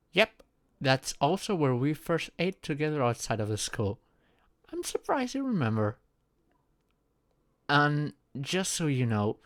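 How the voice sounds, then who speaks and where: A man's voice: medium pitch, no room sound, studio quality, lightly acted. A man playing a shy, flustered young social outcast in a romantic audio roleplay, speaking hesitantly with stammers and sighs. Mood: relieved, happy.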